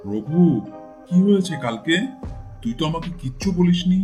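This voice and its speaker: A man's voice: a little high, animated, moderate pace, darkish tone, faint music behind, lightly acted. A man voicing an authoritative village landlord in a cartoon folk tale, with a lordly, questioning manner. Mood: stern.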